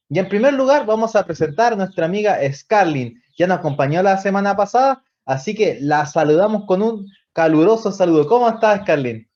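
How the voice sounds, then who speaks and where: Chilean man, high voice, upbeat host for a live Bible show, muffled lo-fi stream sound. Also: a little mellow. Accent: Chilean Spanish. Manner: upbeat, inviting. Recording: dull lo-fi stream audio.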